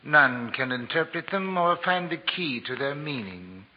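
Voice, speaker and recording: A doubting old priestly father in a radio play, solemn and rather high-pitched. Long reverb surrounds him on a muffled, noisy vintage recording. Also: expressive, unhurried. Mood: doubtful, solemn. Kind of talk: 1940s radio acting.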